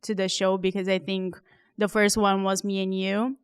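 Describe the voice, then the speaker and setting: A woman's voice: medium pitch, little pitch movement, normal speed, clean tone. A woman podcast producer chatting enthusiastically and supportively with the host. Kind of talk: casual podcast chat.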